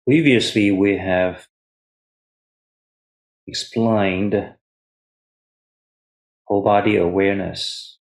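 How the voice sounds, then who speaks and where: A man with a low voice gives guided meditation instruction as a Buddhist teacher. He speaks very slowly, in short measured phrases. Long pauses separate each step of the instruction. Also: steady tone, creaky, poor audio. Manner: refers to himself in third person as teacher.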